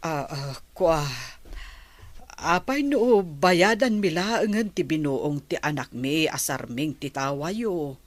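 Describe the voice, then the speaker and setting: A protective mother in a radio drama. She speaks slowly in a lowish voice, humble and pleading, with a dry, close sound. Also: lively intonation, no room sound, exaggerated voice. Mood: humble, hesitant. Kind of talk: Ilocano radio drama acting.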